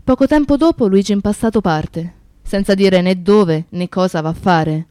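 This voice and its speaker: An actress playing a reflective, grieving woman who narrates memories of a friend in a radio play, in a measured storytelling manner. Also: mid-range voice, mellow, smooth, no echo, studio quality, lightly acted. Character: reflective, grieving woman recalling her activist friend and his town.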